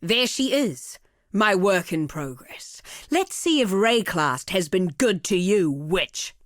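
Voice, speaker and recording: A woman's voice: mid-range voice, sing-song, slow, dry recording. A cruel game villainess, haughty and silky. Her speech is theatrical and swoops up and down with mocking condescension. The sound is dry and close. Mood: triumphant scorn. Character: haughty, cruel female villain, a thaumaturgist obsessed with experiments. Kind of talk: scripted video game villain lines.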